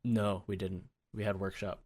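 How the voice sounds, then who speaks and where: A man's voice: low-pitched, level tone, moderate pace, darkish tone. A man co-hosting a gaming podcast, chatting casually and breaking into laughter often. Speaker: podcast co-host.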